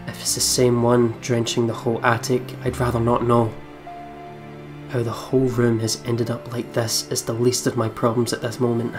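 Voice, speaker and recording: A let's play host reading visual novel narration aloud in a dramatic, deliberate way. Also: medium pitch, completely flat delivery. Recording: music behind the voice. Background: game music.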